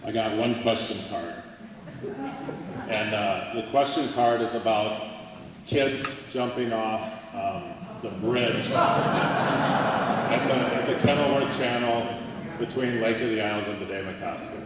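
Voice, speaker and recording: A man in his fifties, a park police chief, answering an audience question at a community meeting in a measured, matter-of-fact way. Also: fairly low pitch, heavy background noise. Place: large meeting hall. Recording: echoey hall, far from the mic.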